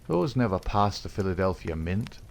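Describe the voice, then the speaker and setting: A man's voice: sits low, moderate pace, gravelly, a little hiss or hum, exaggerated voice. Man voicing a cool, cocky young outlaw. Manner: calm, coolly defiant.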